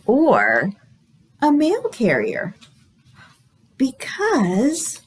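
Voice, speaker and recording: A woman hosting a storytime for young children, reading aloud in an exaggerated, encouraging way and pausing after questions to invite guesses. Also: sits high. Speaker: children's librarian, storytime host.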